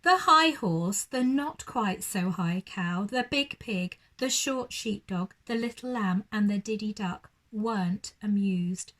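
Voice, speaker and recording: A woman's voice: medium pitch, animated, slow pace, hardly any pauses, fairly bright tone. A woman reading a children's bedtime story expressively, like a primary school teacher addressing young children.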